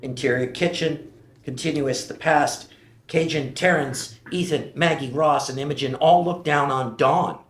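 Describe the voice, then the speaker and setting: A man's voice: fairly high pitch, unhurried. Man reading stage directions in a roomy space. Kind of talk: table read narration of screen directions. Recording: roomy echo.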